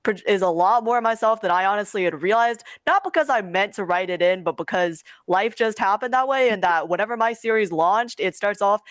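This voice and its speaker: A woman comic creator speaks in a casual panel discussion. Her pitch is average for a woman and her delivery is informal and emphatic, full of opinion. The sound is very dry, with no room echo. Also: a little fast, few pauses.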